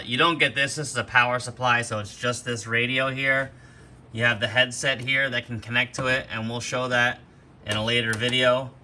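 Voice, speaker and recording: A man presents an informal, unscripted gear demonstration. His voice sits at a middling pitch but is strikingly bright and hard-edged. He explains each step plainly, in the manner of a hands-on instructor. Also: slight background noise. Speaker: host of a gear demonstration video. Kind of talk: unscripted product walkthrough.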